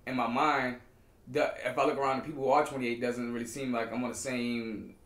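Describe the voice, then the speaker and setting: A man's voice: medium pitch, flat delivery, fairly quick, a bit bright. A man in his late twenties with a New Orleans accent, rambling with many fillers in casual podcast chat. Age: 20s. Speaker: podcast co-host. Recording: roomy echo.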